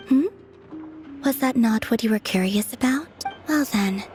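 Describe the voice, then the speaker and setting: A woman's voice: fairly high pitch, natural voice. Serene, aloof young moon maiden played by a woman. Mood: detached. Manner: measured, formal, archaic phrasing, detached. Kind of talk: video game voice acting.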